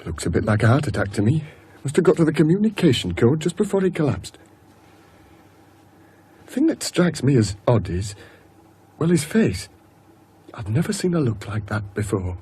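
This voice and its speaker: An actor playing a deferential, shaken train guard with a hesitant manner in a radio drama. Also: male voice, medium pitch, very animated, normal speed, long pauses, warm tone, slightly rough. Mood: grave, shaken. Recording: 1980s radio drama, faint background noise.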